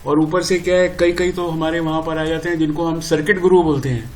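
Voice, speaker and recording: Indian male educator, slightly high voice, exasperated and opinionated explainer, informal, faint background noise. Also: fairly even intonation, a little fast, darkish tone. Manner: exasperated, opinionated, uses rhetorical questions and repetition. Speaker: stock market educator. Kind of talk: informal explanatory commentary with Hindi-English code-switching.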